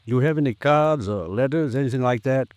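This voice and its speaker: Guy playing careful folksy american lawyer in an old radio show, middle pitched, slow to commit, no room echo. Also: darkish tone, natural voice. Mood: cautious, probing. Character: cautious, plain-spoken small-town male lawyer, measured. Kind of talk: 1950s radio drama acting.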